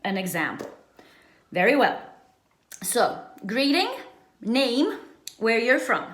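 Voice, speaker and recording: A woman's voice: fairly high pitch, big pitch swings, slow, fairly bright tone. A woman giving an informal bilingual language lesson as a teacher, often checking in with short tag questions. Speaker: English teacher. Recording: echoey, roomy sound.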